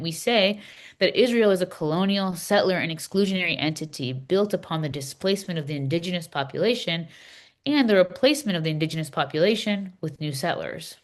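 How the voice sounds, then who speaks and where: A woman performs an impassioned Palestinian woman in a scripted readers theater dialogue. Her voice sits a little low for a woman. She makes her case earnestly, in emphatic, declarative statements. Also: a little fast, close to an everyday voice. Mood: earnest, indignant. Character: impassioned Palestinian woman explaining a church document.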